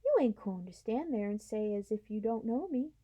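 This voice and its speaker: A woman doing a rough-spoken cab driver. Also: on the high side, lively intonation, moderate pace, mellow. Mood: hurt. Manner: blunt, rough working-class dialect. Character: plain-spoken working-class male cab driver.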